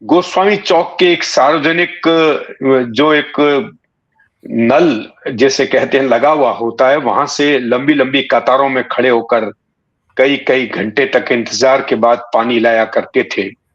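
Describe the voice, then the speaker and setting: A man in his sixties from Bikaner in Rajasthan, reminiscing in an interview with halting, deliberate phrasing. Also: medium pitch. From Bikaner, Rajasthan, India. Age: 60s. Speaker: interview guest, writer and satirist. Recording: dull, muffled lo-fi audio.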